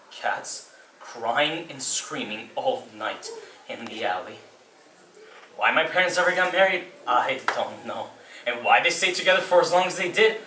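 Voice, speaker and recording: A man's voice: mid-range voice, pushed and bright. A man playing a troubled, wistful adult who looks back on an unhappy childhood in a hesitant, confessional stage monologue. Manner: hesitant, confessional, with heavy pauses. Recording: distant mic, roomy echo, faint noise.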